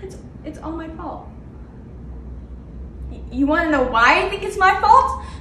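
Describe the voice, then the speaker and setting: A woman acting a dramatic monologue as a grief-stricken young nurse who blames herself, swinging between flat withdrawal and outbursts. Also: extremely high pitch, unhurried, slight background noise, full character voice. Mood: self-blaming. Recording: echoey room from a distance.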